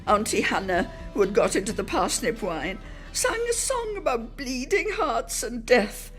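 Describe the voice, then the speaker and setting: A woman giving a dramatic literary reading, measured and expressive, lingering on her phrases. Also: a little high, soft background music. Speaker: dramatic reader.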